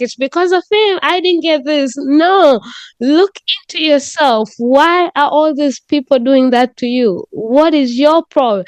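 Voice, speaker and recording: A woman's voice: fairly high pitch, darkish tone, clear, resonant tone, no room sound. A woman from Tanzania, a podcast guest speaking frankly and with animation, swearing freely. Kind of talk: candid conversational storytelling.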